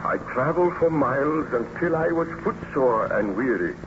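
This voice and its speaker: An actor playing an anguished, guilt-ridden baron in an old-time radio drama, speaking in a formal, theatrical manner. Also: male voice, medium pitch, expressive, moderate pace, natural voice. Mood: grim, haunted recounting. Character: anguished, guilt-ridden young baron who made a monster. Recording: dull, noisy old radio recording.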